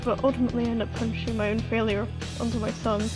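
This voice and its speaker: A woman's voice: fairly high pitch, little pitch movement, racing, natural voice. A woman voicing a solemn, remorseful parent in a scripted game dub. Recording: music playing behind the voice.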